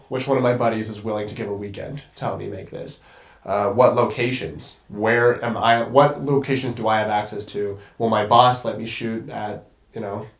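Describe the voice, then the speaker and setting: A man's voice: lowish voice, echoey room. A congested young man talking as a guest cinematographer in a casual conversation, rambling and swearing casually. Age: young adult.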